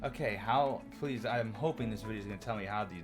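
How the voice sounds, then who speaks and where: A man chatting on a livestream, loud-voiced and animated, reacting to chat and rambling through opinionated stories. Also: fairly low pitch, fast talker. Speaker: streamer. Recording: music playing clearly behind voice. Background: music.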